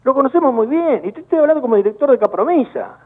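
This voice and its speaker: An Argentine man, a business chamber director, speaking indignantly on a phone call and repeating words in rapid bursts. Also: very high-pitched, animated. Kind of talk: heated phone-in interview. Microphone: telephone. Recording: tinny phone line.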